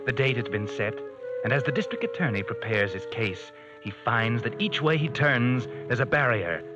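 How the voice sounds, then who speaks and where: A man's voice: medium pitch, lively intonation, normal speed, lightly acted. A man doing a sly, taunting radio narrator. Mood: sardonic. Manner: insinuating, taunting. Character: ominous all-knowing male narrator, mocking. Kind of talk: 1940s radio acting. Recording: muffled old radio recording with music.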